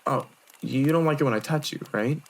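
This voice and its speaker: Woman acting a young male monk, low pitch for a woman, courteous, measured, earnest, anime audio drama. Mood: tender, earnest.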